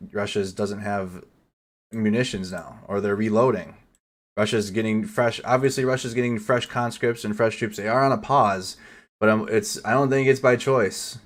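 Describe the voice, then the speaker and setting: A man's voice: fairly low pitch, fairly even intonation, moderate pace. A man giving casual live commentary over war footage as a news streamer, swearing and stumbling over foreign names.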